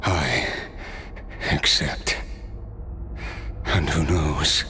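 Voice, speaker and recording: Weary immortal villain, forceful deep tone, slow theatrical pauses, video game drama, background music. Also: male voice, fairly even intonation, heavily acted. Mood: resigned, accepting. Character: tormented, cursed immortal male villain, weary and grandiose.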